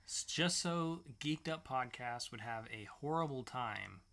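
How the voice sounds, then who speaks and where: Gravelly, bright-toned man podcaster. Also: mid-range voice, expressive, unhurried, a little hiss or hum. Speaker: podcast co-host. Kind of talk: casual chat with joking asides.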